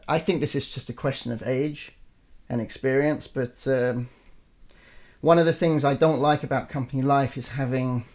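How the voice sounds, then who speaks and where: Gravelly-voiced man in his forties. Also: mid-range voice. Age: 40s. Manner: relaxed, frequent fillers and restarts. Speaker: interviewee, performer. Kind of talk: reflective conversational interview.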